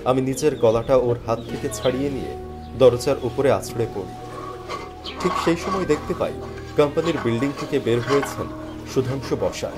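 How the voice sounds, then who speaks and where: A man's voice: mid-range voice, normal speed. A man giving dramatic, scripted first-person narration of a story. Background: background music.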